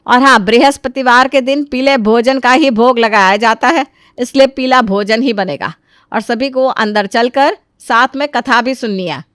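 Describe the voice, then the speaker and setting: Woman playing a stern, devout older mother-in-law, high clear voice. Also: unhurried, a bit bright, dry recording, clean studio sound. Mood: bossy, pious. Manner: commanding, scolding, insistent. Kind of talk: acted dialogue in a moral story.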